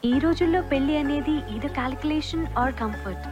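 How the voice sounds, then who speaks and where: Self-assured young woman, film rom-com; high, composed voice, music clearly behind. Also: unhurried. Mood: frank, composed. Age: young adult. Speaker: actress in a film. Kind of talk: naturalistic romantic comedy film dialogue.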